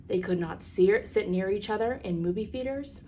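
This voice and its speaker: A woman reads a children's picture book aloud as a storyteller, with deliberate, emphatic phrasing for young listeners. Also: mid-range voice, dark tone. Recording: clearly noisy background.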